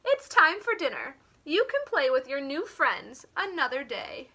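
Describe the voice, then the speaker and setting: A woman's voice: high-pitched, big pitch swings, a little slow, pushed and bright, full character voice. A woman voicing a sweet, doting little old lady who coos and coaxes in a storybook. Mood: cooing. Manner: cooing, coaxing.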